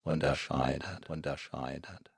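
A man guiding a self-confidence hypnosis session, speaking slowly and softly with long pauses and repeated words. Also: a bass voice, creaky, slightly rough, no room sound, clean studio sound.

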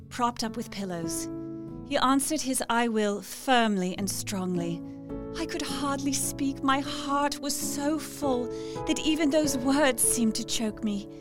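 An actress playing a devoted young Victorian woman reading out her letter in an audio drama, earnest, tender and confiding. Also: fairly high pitch. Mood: solemn joy. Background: music.

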